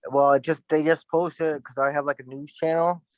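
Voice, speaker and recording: A man's voice: fairly high pitch, flat delivery, brisk, dry recording. A man in casual chat, blunt and opinionated, with loose "like" fillers.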